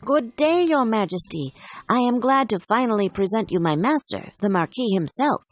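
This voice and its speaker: A woman plays a clever male cat servant from a children's storybook. He is courteous and persuasive, with a very animated delivery and a husky edge. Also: a little high, normal speed, soft background music, pitched above the performer's own voice. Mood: formal.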